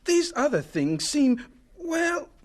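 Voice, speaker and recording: An actor in an audio drama playing an earnest, devout and gentle agriculture teacher who is deferential to his superiors. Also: extremely high pitch, very animated, exaggerated voice. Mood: hesitant, deferential. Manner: measured, hesitant and stammering with superiors.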